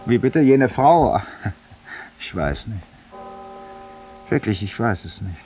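A male actor playing a weary, ironic, haunted man who confesses to a stranger in a deliberate, courteous, literary monologue, in the style of 1950s German radio drama. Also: fairly low pitch, big pitch swings, a little mellow, soft background music. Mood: courteous, evasive. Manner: literary, deliberate, ceremoniously polite, confiding. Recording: hissy 1950s radio recording, distant voice.

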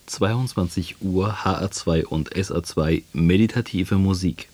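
A man's voice: deep voice, a little slow, a little mellow, no echo. A man presenting a scripted music programme on the radio.

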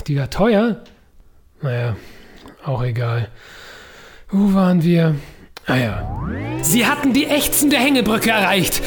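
A woman's voice: mid-range voice, close to an everyday voice. Woman playing a mock-epic storyteller over music. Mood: annoyed aside. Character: wry, melodramatic storyteller of a mock adventure tale. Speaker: narrator. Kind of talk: scripted comic narration.

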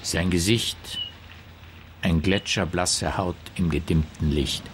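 A man playing a brooding narrator in a noir radio play, speaking measured, terse poetic fragments over music. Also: low-pitched, slight background noise. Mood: terse, suspenseful. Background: music behind the voice.

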